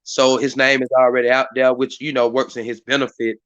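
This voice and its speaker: A hoarse man hosts a community live stream in a rambling way, full of fillers and restarts. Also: mid-range voice, little pitch movement, brisk, a bit bright, dull audio. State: hoarse, voice partly lost. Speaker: community activist hosting a live stream meeting. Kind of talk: casual hosted talk. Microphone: live stream mic.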